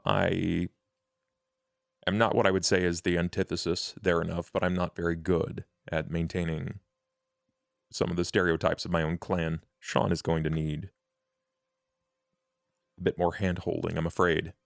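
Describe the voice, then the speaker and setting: A man playing a courtly, world-weary vampire in a tabletop roleplay, speaking gravely in formal, deliberate, ornate phrases. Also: sits low, flat delivery, dry recording, studio quality. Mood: measured, scheming. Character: measured, scheming male vampire named Duke, courtly and world-weary.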